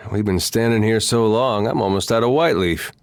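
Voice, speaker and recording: A man's voice: fairly low pitch, smooth, no echo, clean studio sound, close to an everyday voice. A man voicing an easygoing, wry farmer turned adventurer for a video game, with a folksy, drily humorous way of talking. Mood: dry, bored.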